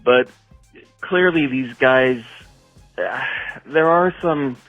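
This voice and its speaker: Slow, halting man on a dull voicemail recording. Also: mid-range voice, dry recording, faint music behind. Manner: halting, pausing between short phrases. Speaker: caller leaving a voicemail. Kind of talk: casual sports fan message.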